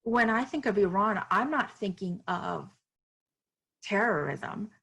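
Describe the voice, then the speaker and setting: A woman's voice: mid-range voice, lively intonation, unhurried, gravelly, a bit bright, slightly rough. A woman author from Miami, speaking earnestly and reflectively as a podcast interview guest, with fillers and restarts.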